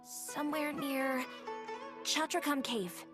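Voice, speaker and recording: A woman's voice: sits high, music in the background. A woman voicing a mild, obliging, hesitant bystander in an anime video game. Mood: hesitant, concerned. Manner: hesitant, slow.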